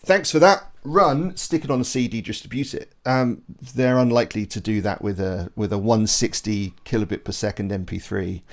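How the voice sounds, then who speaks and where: A man's voice: fairly low pitch, moderate pace, few pauses. A middle-aged man hosting a casual podcast chat and reading the outro in a conversational way. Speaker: podcast host.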